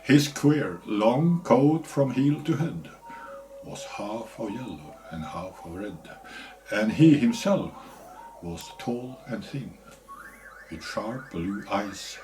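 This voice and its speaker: Male amateur reader, native Norwegian, unhurried and lowish, giving poetry in short chunks with faint music behind. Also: poor audio. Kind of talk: verse recitation.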